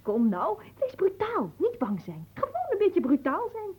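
A woman's voice: very high-pitched, wildly swooping pitch, measured pace, darkish tone, full character voice. An actress playing a bored, imperious young noblewoman in a radio drama, petulant and melodramatic. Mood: bored, goading. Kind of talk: theatrical radio drama acting.

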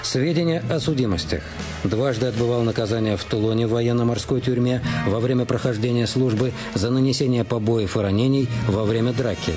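A man's voice: medium pitch, fairly quick, over music. A man reading scripted narration for a radio detective drama. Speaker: radio play narrator and actor.

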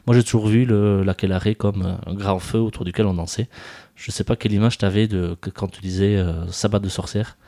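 A man's voice: on the low side, steady tone, a little fast, dark tone, no room sound, studio quality. A man hosting a casual podcast about a film, talking hesitantly with many fillers and restarts, who grew up speaking Basque. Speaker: podcast host.

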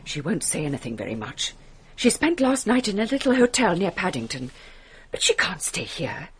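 An actress playing a well-meaning, flustered spinster with an English accent, gushing and dithering in a radio drama. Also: female voice, on the low side, sing-song, normal speed, a little hiss or hum. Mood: fussing.

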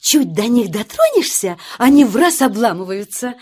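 A motherly little titmouse in a children's audio play. Her voice is high and very melodic, swooping up and down. She soothes, gently chides and explains things patiently, as if to a small child. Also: very animated, normal speed, slightly rough. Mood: patient explaining. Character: motherly female titmouse, soothing, chiding and wise.

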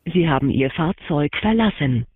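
A man voicing an impassive car navigation machine in a radio drama, giving formal, politely commanding prompts with a husky voice. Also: on the high side, animated, normal speed, no echo. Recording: dry, dull band-limited device sound.

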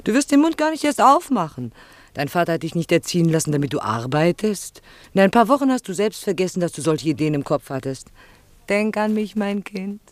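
A woman's voice: on the low side, big pitch swings, brisk, no room sound. A swooping, knowing woman, dry recording. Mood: mocking warning. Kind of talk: German radio drama acting.

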